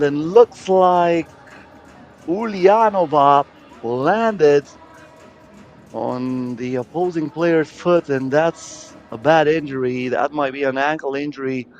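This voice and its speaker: A man's voice: a little high, highs cut off. A man giving live play-by-play commentary on a basketball game as a sports commentator.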